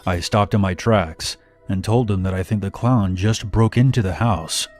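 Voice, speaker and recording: Male horror narrator, lowish voice, scripted first-person storytelling, music underneath. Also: moderate pace, darkish tone. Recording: music bed behind narration. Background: background music.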